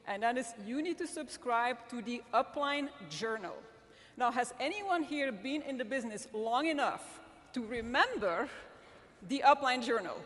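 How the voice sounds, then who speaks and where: A woman's voice: a little high, fairly bright tone. Woman speaking in a reverberant hall. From Belgium. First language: not English. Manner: earnest, with frequent restarts. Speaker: magazine editor speaking at event. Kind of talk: motivational talk to an audience. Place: large hall with audience.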